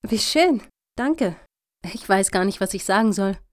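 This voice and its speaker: A woman's voice: mid-range voice, expressive, unhurried, natural voice. A woman acting in a radio satire as a composed, self-assured political campaigner with a polite, prim manner. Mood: flattered.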